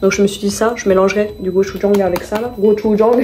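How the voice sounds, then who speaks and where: A woman's voice: medium pitch, fairly even intonation, quick pace, music in the background. A woman vlogging casually at home, chatting and rambling through her shopping haul, often stopping to correct herself and laughing at her own slips.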